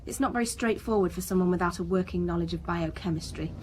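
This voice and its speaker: A woman's voice: lowish voice, fast talker, darkish tone, slight background noise, natural voice. A woman acting an indignant, condescending research scientist in a radio drama, clipped and lecturing. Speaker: actor in radio crime drama.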